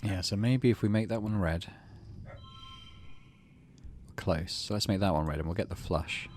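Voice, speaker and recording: A man's voice: sits low, moderate pace, darkish tone. A man hosting a let's play, commenting on a game and reading its text aloud. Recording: clear background noise.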